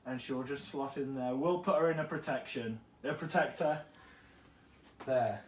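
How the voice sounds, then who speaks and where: A man's voice: medium pitch, a little slow, a little hiss or hum. A man with a cold, chatting enthusiastically while unboxing a collectible figure and correcting himself along the way. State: has a cold. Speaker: toy collector vlogger. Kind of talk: casual unboxing chat. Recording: roomy, distant mic.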